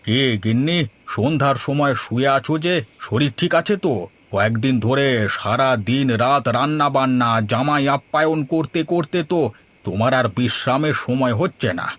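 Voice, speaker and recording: A man's voice: mid-range voice, normal speed, a bit bright, close to an everyday voice. A man voicing a thrifty, exasperated older father-in-law in a cartoon, grumbling and sarcastic. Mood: concerned. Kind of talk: Bengali cartoon dialogue. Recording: music under the voice. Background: music.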